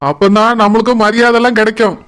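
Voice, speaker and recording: A man acts out a comic cartoon husband. His voice sits unusually high for a man. He carries himself like a local celebrity, boastful and easily fooled, ready to plead when challenged. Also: level tone, fast, a bit bright. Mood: smug, boastful. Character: boastful, gullible husband basking in his wife's local fame.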